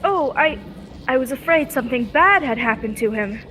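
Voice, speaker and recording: A woman playing a spirited, caring young heroine in an old-time radio space serial, earnest and expressive. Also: sits high, normal speed, in a large hall, a little hiss or hum. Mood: alarmed, worried.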